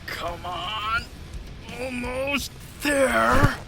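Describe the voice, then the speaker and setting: A man's voice: very high voice, expressive, very slow, gravelly, very noisy, full character voice. A man playing a wry, sarcastic young knight in a fantasy audio drama, exclamatory and strained.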